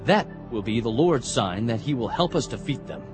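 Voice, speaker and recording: An actor playing a confident, devout young warrior prince with an earnest, resolute manner in a dramatized scripture reading. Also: male voice, medium pitch, animated, normal speed, music in the background, natural voice. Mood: resolute, confiding. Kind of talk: dramatized audio Bible acting.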